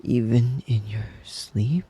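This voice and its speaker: A man playing a devoted, possessive young wolf-boy lover in an intimate ASMR roleplay, tender and breathy, often slipping into a whisper. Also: medium pitch, dark tone. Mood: intense, possessive. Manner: tender, doting, slow and lingering with pet names. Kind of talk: intimate ASMR roleplay, soothing bedtime murmur.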